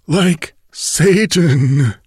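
A man voicing a wounded, dying male bandit who mutters slowly and strains to speak. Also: a little high, a little mellow, breathy edge, no echo, studio quality, voice pushed up.

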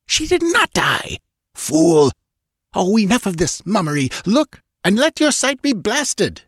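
A man's voice: high voice, huge pitch swings, measured pace, slightly rough, no echo, clean studio sound, pitched above the performer's own voice, full character voice. A man voicing a malevolent young woman in an audiobook fantasy, sweet, mocking and imperious. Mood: furious. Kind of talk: dramatic audiobook fantasy character voice.

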